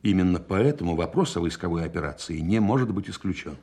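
An actor in a radio play voicing a calm, probing senior general who speaks in a deliberate, official manner. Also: male voice, low voice, husky, heavily acted. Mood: grave, official. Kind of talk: Soviet-style radio play acting.

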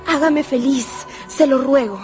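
A woman's voice: high voice, measured pace, darkish tone, soft background music. An actress playing a proud young aristocratic lady in a radio drama, formal and imploring. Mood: pleading. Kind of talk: Spanish-language radio drama acting.

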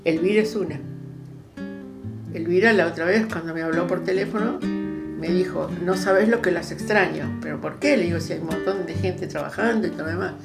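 A woman's voice: low-pitched, gravelly. A woman with an Argentine accent talks reflectively in casual conversation, searching for words as she goes. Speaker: participant in recorded conversation. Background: guitar music.

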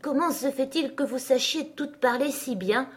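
A woman voicing a curious, polite young girl who is easily astonished, in an expressive children's storybook reading. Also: sits high, normal speed, pitched above the performer's own voice, natural voice.

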